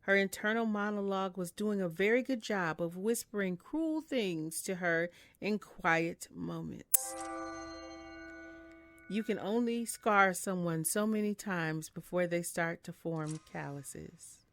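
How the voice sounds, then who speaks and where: A woman hosting a let's play, reading a visual novel's narration aloud in a calm, measured way. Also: lowish voice, soft background music.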